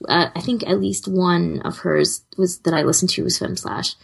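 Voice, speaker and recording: A woman's voice: on the low side, moderate pace, vocal fry, breathy edge. A woman co-hosting a podcast in casual fan chat, rambling a little and correcting herself as she goes. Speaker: podcast co-host.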